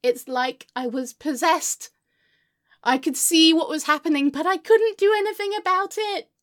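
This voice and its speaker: A woman's voice: extremely high pitch, moderate pace, fairly bright tone. A woman playing a melodramatic, irrational, foul-mouthed character in a comedic monologue, histrionic and swinging between sulky muttering and wailing. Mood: wounded, sulky. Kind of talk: comedic monologue to an unheard friend.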